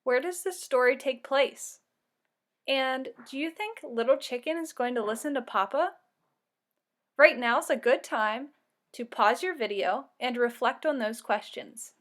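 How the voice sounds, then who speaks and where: A woman's voice: high-pitched, measured pace, a bit bright. A woman narrating a children's read-aloud like a teacher, giving instructions and asking questions.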